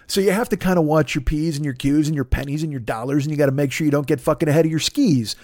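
A man hosting a podcast, telling a long comic story in a rambling, animated way, cursing constantly and acting out the exchanges he quotes. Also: a little high, quick pace, no room sound, clean studio sound. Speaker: podcast host. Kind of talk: profane comedic storytelling.

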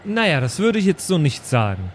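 A man's voice: a little high, sing-song, a little fast, slight background noise. A man playing a polite, modest, eager apprentice adventurer in an improvised tabletop audio play.

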